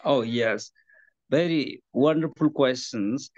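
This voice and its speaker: A man's voice: medium pitch, slow, dry recording. A man in his fifties with a Nepali accent, answering interview questions over a phone video call, often hesitating with fillers. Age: 50s. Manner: hesitant, many "uh, let's say" fillers. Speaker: guest, poet and professor. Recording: dull lo-fi phone call audio.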